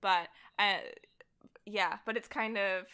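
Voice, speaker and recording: A woman podcast guest chatting casually, telling stories in an animated, self-deprecating way with frequent laughter. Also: mid-range voice, slow, clear, resonant tone. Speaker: voice actor. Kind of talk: casual conversational chat about fandom.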